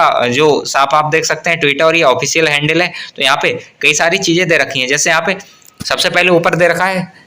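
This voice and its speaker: A man presents an informal explainer update. His voice sits a little high and has a sharp, bright edge. He talks in an explanatory way, often rewording what he has just said. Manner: explanatory, often rephrases and repeats points. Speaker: host of an exam news channel. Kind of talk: informal explainer update on government exam news.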